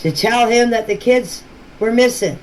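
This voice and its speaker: A woman with a slightly high voice talks informally, as if leaving voice messages on her phone. She explains in a halting way and often circles back to repeat herself. There is some faint noise in the recording. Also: a little mellow. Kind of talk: informal voice messages to a group chat. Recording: noisy phone voice clips.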